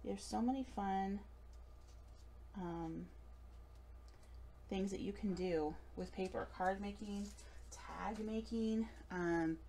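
Chatty woman with a gravelly edge. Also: mid-range voice, slow pace, pauses often, mellow, slight background noise. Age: late 30s. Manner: chatty, giggly, self-deprecating asides. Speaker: craft store demonstrator. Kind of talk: live craft demonstration. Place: indoor craft table. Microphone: overhead camera above table.

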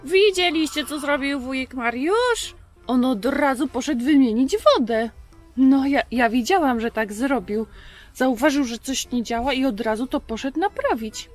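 A woman in her thirties with a fairly high-pitched voice talks to children as a show host. She chats and tells stories in a conversational way, out in a garden. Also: unhurried, faint music behind. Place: outdoors in a garden.

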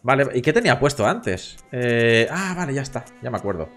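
Lively chatty man from Barcelona. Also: medium pitch, fairly quick, faint music behind. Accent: Castilian Spanish from Barcelona. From Catalonia, Spain. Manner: chatty, swearing, joking with chat. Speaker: gaming streamer. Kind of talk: casual live gameplay commentary. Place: home room with webcam.

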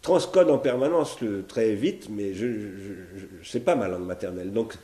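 A man's voice: fairly low pitch, normal speed, dark tone. A man in his sixties from France giving a philosophy seminar at home, reasoning aloud in a searching way, often restarting and repeating words. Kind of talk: spoken philosophy seminar, partly read from notes. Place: living room, indoors. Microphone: fixed camera at medium distance. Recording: echoey room.

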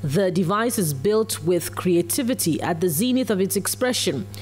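A woman's voice: lowish voice, expressive, moderate pace, darkish tone, breathy edge. A woman reading scripted narration as a news reporter. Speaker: news reporter doing voice-over.

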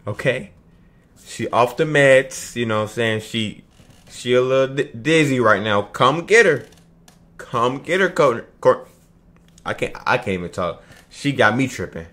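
A man's voice: mid-range voice, expressive, a little slow, long pauses, fairly bright tone. A young man reacting to a video from a small room at his desk, joking and cursing casually. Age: young adult. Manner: loose, profane, joking asides. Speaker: reaction streamer. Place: small dim room indoors.

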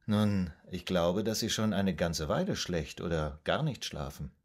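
An actor playing a calm, methodical male psychoanalyst in a radio drama, speaking in a measured, formal, probing manner. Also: deep voice. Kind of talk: German radio drama acting.

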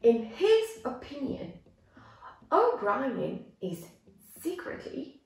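Echoey, animated young woman teaching English. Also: sits high. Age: young adult. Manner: deliberate, clearly explanatory, gesturing as she teaches. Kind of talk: reading a simplified story aloud and explaining words to learners. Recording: echoey room, music underneath. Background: background music.

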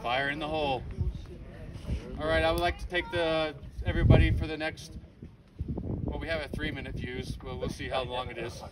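A middle-aged man with a loud, informal way of talking, emceeing a memorial event on an outdoor stage. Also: fairly high pitch, steady tone, normal speed, long pauses. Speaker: ski patrol emcee. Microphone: handheld mic, filmed from the audience. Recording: distant phone capture with background noise.

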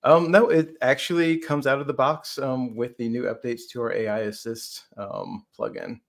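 A man's voice: medium pitch, fairly even intonation, normal speed, gravelly. A man in his thirties explaining a product on a video call as a conversational co-presenter. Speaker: software demo co-presenter.

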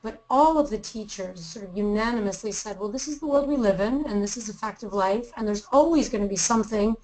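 A woman speaks in an upbeat, lively way on a webinar. She talks as a tour manager and laughs easily between points. Her pitch sits in a middle range. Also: a little fast, poor audio. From Greece, raised in the US. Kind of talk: webinar talk about travel.

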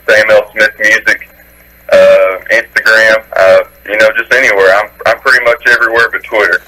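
A young man with a deep baritone voice, rambling folksy chat as a country singer guest on a radio interview. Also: bright, forceful tone, low-quality recording. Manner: rambling, folksy, with many fillers and restarts.

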